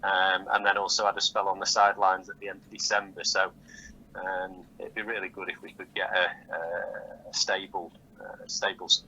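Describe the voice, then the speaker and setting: A man giving measured, halting answers as a football manager interviewed over the phone, often repeating words as he goes. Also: low-pitched, bright, forceful tone, slightly rough. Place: phone call. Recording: dull, lightly noisy phone call audio.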